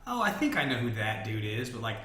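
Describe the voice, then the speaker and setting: A man's voice: medium pitch, very animated, fast, pushed and bright. A man hosting a casual podcast, rambling informally and circling around his questions with lots of "like". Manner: rambling, informal, talks in loops with many "like"s. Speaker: podcast host. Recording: roomy, echoey sound.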